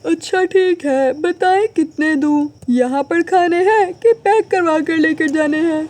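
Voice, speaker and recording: A woman's voice: extremely high pitch, unhurried, darkish tone. A woman voicing a devoted, hardworking, polite young man who is a poor street seller in a cartoon moral story. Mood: kindly, polite. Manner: earnest, dutiful, polite.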